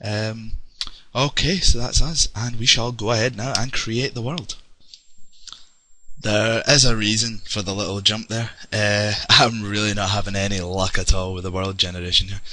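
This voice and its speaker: A man's voice: on the low side, fairly even intonation, moderate pace, pushed and bright. A young Scottish man chatting casually with lots of ums while narrating his gameplay. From Scotland. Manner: rambling, chatty, many ums. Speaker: let's play gamer. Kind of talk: casual game commentary.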